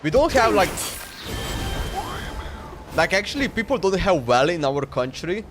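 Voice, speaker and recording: Higher-pitched man streaming a game. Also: very animated, a little slow. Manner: slangy, informal. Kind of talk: casual live gameplay commentary. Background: game music.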